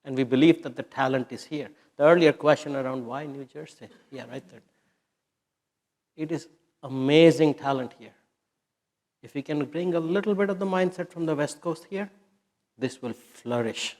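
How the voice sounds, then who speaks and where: A man's voice: a little high, normal speed, a little mellow. Confident executive man at a press conference. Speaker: company executive.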